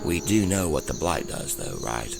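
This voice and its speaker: A man plays a gruff, forceful forest guardian in a fantasy audio drama. His low, gravelly voice is blunt and commanding. Music is heard behind him. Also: sing-song, moderate pace, heavily acted. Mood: grim. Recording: music bed with night insects.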